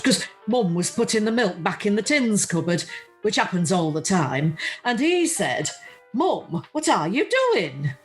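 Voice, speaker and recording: A woman with a Northern English accent playing a middle-aged, blunt, weary widow who cares for her mother in a radio play. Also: medium pitch. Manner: blunt, colloquial, grumbling. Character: chatty, worn-out, down-to-earth widow caring for her mother. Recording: video call recording with music.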